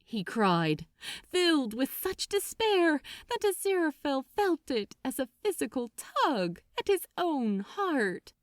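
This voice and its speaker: A woman reading a story aloud as a dramatic audiobook narrator, theatrical and deliberate, drawing out key words. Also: high voice, big pitch swings, no room sound, studio quality. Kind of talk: dramatic scripted fiction narration.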